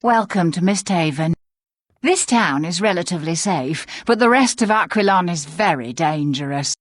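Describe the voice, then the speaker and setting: A woman's voice: lowish voice, animated, normal speed, no echo, studio quality. An actress playing a polite, concerned townswoman in a fantasy game, speaking with courteous care. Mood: welcoming, concerned. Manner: courteous, careful scripted delivery. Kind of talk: fantasy game character acting.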